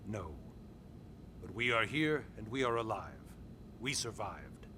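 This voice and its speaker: Measured, low-voiced military commander. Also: male voice, expressive, pushed and bright, a little hiss or hum, full character voice. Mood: reassuring, firm. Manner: measured, deliberate, with weighty pauses. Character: composed, authoritative military commander of a human colony. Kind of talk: video game cutscene acting, expository dialogue.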